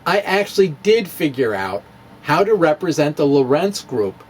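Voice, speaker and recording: A man's voice: fairly high pitch, big pitch swings, moderate pace, slight background noise. A middle-aged man explaining math in a chatty, rambling way, chuckling at his own asides. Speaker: physics blogger explaining math. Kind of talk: casual explanatory talk, demonstrating with props.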